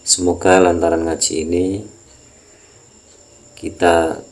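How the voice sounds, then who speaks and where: A man with a Javanese accent teaching an Islamic text, reading it out and explaining it in a halting, repetitive way. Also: low voice, little pitch movement. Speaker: religious teacher.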